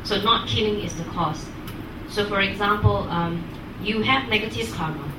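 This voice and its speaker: A woman's voice: mid-range voice, moderate pace. A woman answering as a student in class, unscripted and hesitant, piecing her answers together as she goes. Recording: echoey room, distant mic, clear noise.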